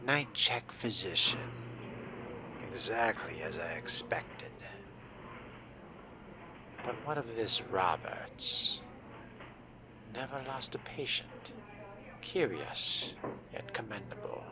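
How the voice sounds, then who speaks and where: Very deep, slow, disdainful man. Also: lively intonation, noisy background. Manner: pompous, disdainful, formal wording. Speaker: player narrating a game as his character. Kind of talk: in-character roleplay commentary.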